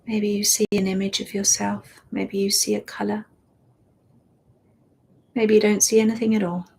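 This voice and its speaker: A woman guiding a meditation, speaking softly and soothingly and often dropping into a whisper. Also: mid-range voice, flat delivery, vocal fry, husky. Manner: slow, soft and soothing, drifting into whispers. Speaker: meditation guide. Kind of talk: guided meditation.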